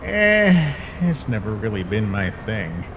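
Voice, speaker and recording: A man's voice: lowish voice, very animated, slow pace, fairly bright tone, slight background noise, heavily acted. A man voicing a laid-back, guarded skeleton big brother in a fan comic dub, with a casual drawl. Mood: gently teasing.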